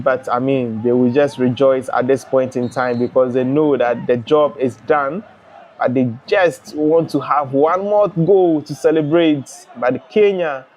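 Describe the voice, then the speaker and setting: A young man from Ghana hosting a live football watch-along, chatting and reading out viewer comments in a relaxed way. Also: mid-range voice, highs cut off. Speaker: live stream host.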